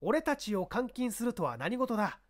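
A man voicing a harried, excitable police subordinate reporting to his boss in a mystery audiobook. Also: extremely high pitch, normal speed, voice pushed up. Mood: exasperated. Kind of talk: dramatized mystery audiobook reading.

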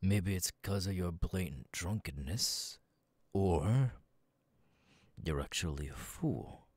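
A man voicing a menacing, haughty, sadistic demon king in an ASMR audio roleplay, soft-spoken and condescending. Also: low voice, expressive, takes it slowly, slightly rough, no echo, heavily acted. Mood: cold, condescending. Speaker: voice actor.

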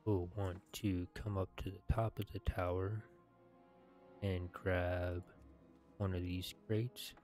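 A man in his thirties reading video game quest text aloud in a halting, deliberate way, with some plain commentary. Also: low-pitched, flat delivery, a little mellow, faint music behind.

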